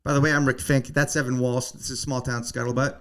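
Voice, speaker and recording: A man in his forties who hosts a podcast, talking in a casual, joking way with a raspy voice and deadpan asides, recorded in a home basement game room. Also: mid-range voice, fast. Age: 40s. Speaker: podcast host. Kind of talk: casual comedic chat.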